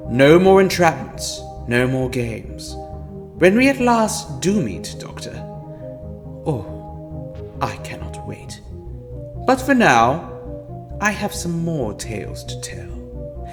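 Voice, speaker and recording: A man playing a sinister, grandiose storyteller of dark tales in an audio drama, with a theatrical, drawn-out, florid delivery. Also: medium pitch. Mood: gloating, fascinated. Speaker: narrator and host of an anthology audio series. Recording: long hall reverb under loud music.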